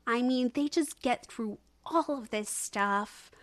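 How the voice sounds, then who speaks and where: A woman's voice: fairly high pitch, slow pace. A woman in her thirties or older speaking as a podcast guest in a conversational, opinionated way, stressing points by repeating words. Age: 30s or older. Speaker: researcher and analyst. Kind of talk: conversational discussion.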